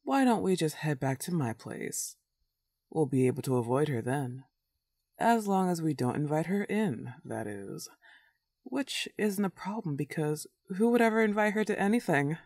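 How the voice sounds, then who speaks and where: A woman's voice: deep voice, sing-song, darkish tone, dry recording, clean studio sound, full character voice. A woman playing a smug, clingy, lovesick werewolf in a comedic roleplay audio drama, taunting and cooing. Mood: flirty, possessive. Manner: taunting, drawn-out teasing and cooing.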